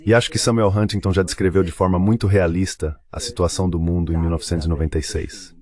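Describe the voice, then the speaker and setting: A man giving a measured, explanatory voice-over translation of a guest on a discussion panel. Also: deep voice, fairly even intonation, darkish tone.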